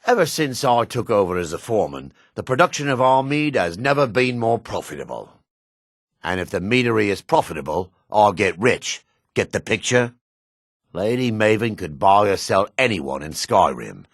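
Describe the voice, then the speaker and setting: An actor playing a shifty, greedy dark elf merchant and foreman in a fantasy video game, brusque and sly, with a raspy voice. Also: on the low side, very animated, moderate pace, dry recording, clean studio sound. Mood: smug, confiding.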